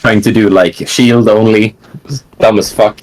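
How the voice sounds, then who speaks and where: A man being interviewed about the games he plays. He talks in a relaxed, rambling way, with lots of fillers. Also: lowish voice, a little slow, low-quality recording. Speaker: interview guest, gamer. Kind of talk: casual online interview.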